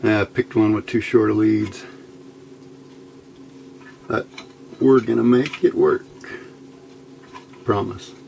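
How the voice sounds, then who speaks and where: A man's voice: on the low side, steady tone, slow pace, pauses often, a little mellow. A man giving a casual step-by-step demonstration as a hobbyist instructor, folksy and muttering to himself as he works. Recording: clear background noise.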